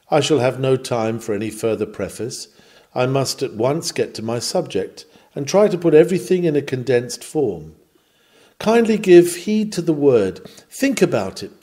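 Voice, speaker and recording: A man reading a scripted sermon aloud in an earnest, exhorting manner, with deliberate emphasis on key words. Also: medium pitch, mellow. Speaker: reader of a historic sermon.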